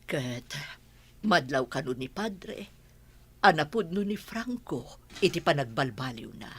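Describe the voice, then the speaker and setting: A woman's voice: deep voice, big pitch swings, husky, full character voice. A woman playing a tender, sorrowful middle-aged mother of grown sons in an Ilocano radio drama. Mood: tender, sad. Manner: slow, tender, emotive.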